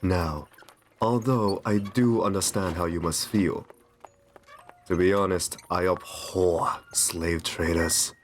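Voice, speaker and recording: A man playing a courtly, soothing vampire in an ASMR roleplay, speaking softly and genteelly with a possessive kindness. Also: low-pitched, steady tone, a little hiss or hum. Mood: earnest, disapproving. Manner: soft, soothing, genteel, unhurried.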